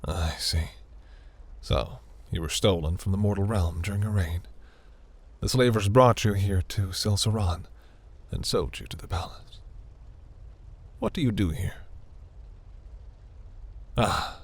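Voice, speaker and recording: A seductive demon prince, performed by a man, speaks in a very low, slow voice roughened by gravel. He is imperious and grandiose, yet flirts with velvety, breathy teasing in the manner of a fantasy romance roleplay. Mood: intrigued.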